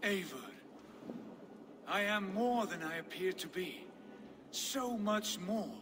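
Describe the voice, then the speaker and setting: An actor playing a fervent Norse warrior lord entranced by visions, speaking slowly and portentously in a game cutscene. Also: male voice, sits high, lively intonation. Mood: awed, entranced. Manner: slow, portentous, dramatic. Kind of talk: video game cutscene acting. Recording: long hall reverb with background noise.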